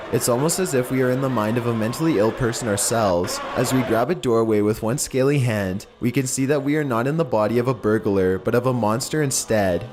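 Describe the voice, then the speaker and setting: A man reading scripted creepy stories in a slow, measured, ominous way. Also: mid-range voice, darkish tone. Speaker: narrator.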